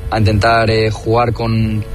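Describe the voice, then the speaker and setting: A male tennis player giving a halting, spontaneous interview answer. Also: on the low side, completely flat delivery, soft background music. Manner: hesitant, halting.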